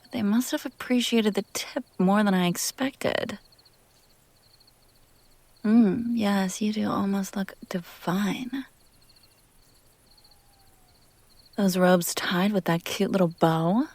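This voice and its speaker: A woman playing a possessive, teasing demon in an intimate scripted audio roleplay, speaking theatrically with drawn-out phrases that drop toward whispers. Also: medium pitch, fairly even intonation, darkish tone, no room sound. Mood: teasing, admiring.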